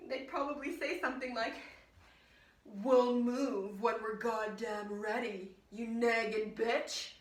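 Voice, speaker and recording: A woman playing a frazzled, unhinged woman in a volatile theatrical monologue, bitter about life and swinging between hushed confiding, sobbing and screaming. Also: on the high side, fairly even intonation, slow. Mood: fretful, indignant. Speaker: actress performing a one-woman monologue. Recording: roomy echo, distant microphone.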